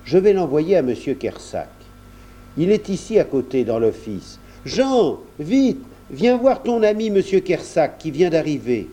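A man's voice: medium pitch, big pitch swings, normal speed, warm tone, pitched above the performer's own voice, natural voice. A man voicing a kindly, obliging manservant who speaks with courteous deference in a storybook reading. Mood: cheerful, helpful.

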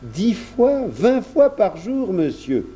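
A man's voice: high-pitched, very animated, slow, dark tone, husky, pitched above the performer's own voice, exaggerated voice. A man voicing a polite, deferential doorman of a grand house, formal and courteous, in a storybook reading. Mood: matter-of-fact.

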